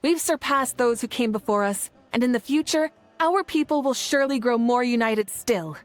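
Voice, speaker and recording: Stately, higher-voiced woman leader played by an actress. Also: lightly acted. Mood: warm, rousing. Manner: measured, stately, proclaiming. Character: dignified adult woman leader of united tribes. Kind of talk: video game voice acting, formal speech.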